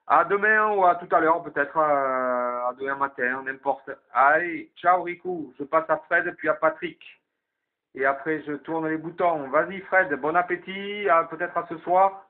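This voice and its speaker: A man's voice: on the high side, measured pace. A man chatting casually over CB radio, explaining things and repeating himself as he runs the round. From southwest France. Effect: CB radio transmission. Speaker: amateur CB radio operator running the evening round. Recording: narrow tinny CB radio signal.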